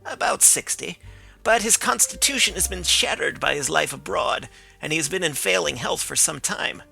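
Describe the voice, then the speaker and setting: Man doing a blunt stubborn police inspector, gravelly, bright, dismissive. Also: a little high, lively intonation, moderate pace, faint music behind, voice pushed up, full character voice. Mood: insistent.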